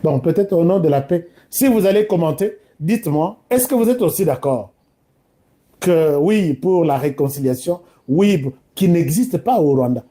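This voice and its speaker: A man's voice: on the high side, expressive, moderate pace, warm tone. A man from DR Congo with a Congolese accent, giving informal, emphatic political commentary that addresses listeners directly and switches between two languages.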